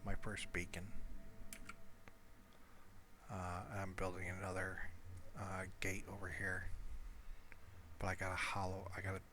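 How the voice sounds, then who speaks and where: Very low male voice, unhurried gameplay commentary, rambling and hesitant, noisy home recording. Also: monotone, sharp tone. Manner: low-key, rambling, hesitant with trailing pauses. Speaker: gamer narrating own gameplay video. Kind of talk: casual unscripted gameplay commentary.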